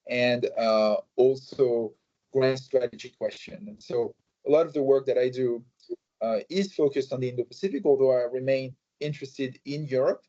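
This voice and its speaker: A man answering interview questions in a measured way, with many fillers and restarts. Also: mid-range voice, dark tone. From France. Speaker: think tank president, guest. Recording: slightly muffled recording.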